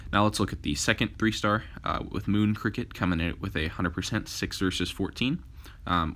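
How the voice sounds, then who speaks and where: A young man hosting a gaming channel, giving casual gameplay commentary with frequent fillers, his voice a little hoarse from a cold. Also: deep voice, fairly bright tone.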